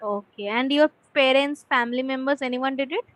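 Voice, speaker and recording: A woman's voice: high-pitched, brisk. A woman with an Indian accent, an English teacher chatting casually and encouragingly with a student through simple questions. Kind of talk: casual conversation practice.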